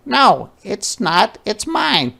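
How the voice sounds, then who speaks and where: A man voicing an excitable, stubborn, possessive little girl from a picture book. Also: high-pitched, extremely slow, creaky, pitched above the performer's own voice, heavily acted. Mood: defiant.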